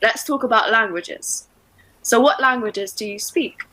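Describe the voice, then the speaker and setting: A woman with an English accent acts as a speaking-test examiner. Her voice is bright and clear, and she is friendly and quick to laugh. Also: a little high, no echo, low-quality recording. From England.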